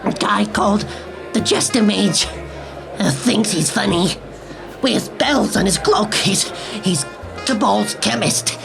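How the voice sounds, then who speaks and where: A woman's voice: mid-range voice, takes it slowly, vocal fry, music in the background. A woman playing a furtive, nervous jazz club informant who speaks hesitantly in a noir radio play. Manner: hesitant, stammering, warning.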